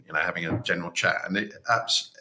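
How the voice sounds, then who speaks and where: A man's voice: deep voice, fast talker, bright, forceful tone, slight background noise. A man in his forties, a pilot hosting a casual interview, talking in a friendly, rambling way. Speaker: podcast host, pilot.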